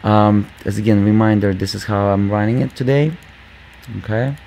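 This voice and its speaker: A man hosting a gaming channel, talking casually over gameplay with a squeaky voice, lots of fillers, and calling viewers "guys". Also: normal speed, a little mellow, slight background noise. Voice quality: squeaky, just-woken voice. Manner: rambling, many fillers, addresses viewers as guys.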